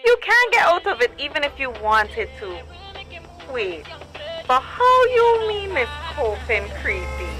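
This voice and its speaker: A chatty teenage girl teases in a radio drama. Her voice is high and very lively, with music clearly behind it. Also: a little slow, full character voice. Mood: teasing, curious. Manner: colloquial, animated. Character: chatty, teasing teenage girlfriend on a call.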